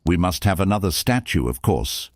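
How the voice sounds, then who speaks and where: A man's voice: sits low, big pitch swings, normal speed, no echo. A man voicing a pompous, self-important town mayor in a storybook, in exclamatory phrases.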